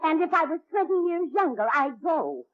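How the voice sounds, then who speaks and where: An actress playing a gushing, excitable older female admirer in a 1940s radio comedy, swooning and gossipy. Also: very high-pitched, normal speed. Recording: tinny, very noisy old radio recording.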